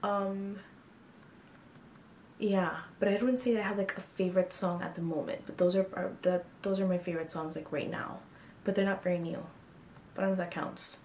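A woman's voice: medium pitch, steady tone, a little fast, darkish tone, echoey room, a little hiss or hum. A woman of twenty-two chatting frankly to camera about herself in a casual get-to-know-me video, with lots of ums and likes. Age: 20s. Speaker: beauty vlogger. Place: small bedroom, indoors.

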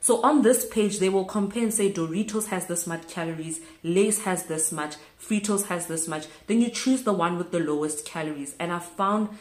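A woman's voice: fairly low pitch, normal speed, hardly any pauses, darkish tone. A woman in her thirties from South Africa, chatting casually to camera in a small room and repeating words for emphasis. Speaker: vlogger. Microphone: camera close in front. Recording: echoey room.

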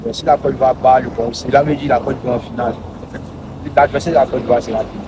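A man's voice: medium pitch, fairly even intonation, fast. A man, asking questions as a street interviewer. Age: 20s. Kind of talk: vox pop questioning. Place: outdoors on a roadside. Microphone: handheld microphone. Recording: outdoor street recording with clear traffic noise. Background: traffic and street noise.

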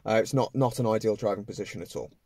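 A man's voice: fairly low pitch, fast talker, mellow, no echo. A man in his thirties, a driving instructor, patiently explaining step by step from the driver's seat of a parked car. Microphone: camera on the dashboard.

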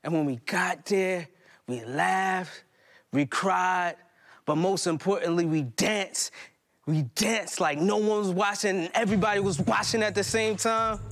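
A man's voice: high voice, a little slow, fairly bright tone. A man in his thirties delivering theatrical spoken-word poetry on stage, with emphatic rhythm and dramatic repetition. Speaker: spoken word poet performing a stage play. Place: live stage in a plain hall before a small seated audience.

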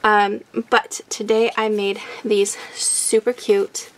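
Raspy chatty woman crafter. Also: mid-range voice, slow. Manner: chatty, many "um"s, excitable. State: raspy from recent illness. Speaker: craft channel host. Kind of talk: casual product unboxing chat.

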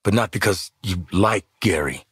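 A man's voice: deep voice, moderate pace, fairly bright tone, husky, no echo, studio quality, heavily acted. An American man playing a cocky, hardened mercenary marksman and squad leader in a video game, brash, sardonic and commanding, with streetwise delivery. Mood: dry, sardonic. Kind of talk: video game combat barks and banter.

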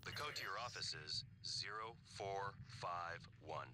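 Calm sci-fi game guide, deep voice, scripted, noisy game background. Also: male voice, measured pace. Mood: calm, informative. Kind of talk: scripted video game dialogue.

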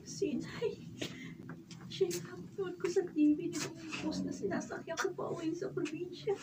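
A woman's voice: very high-pitched, steady tone, moderate pace. A woman playing a remorseful young daughter in a Filipino radio drama, tearful and pleading. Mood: proud, tearful. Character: remorseful young daughter returning to her mother. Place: radio drama recording studio. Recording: noisy, distant camera recording in studio.

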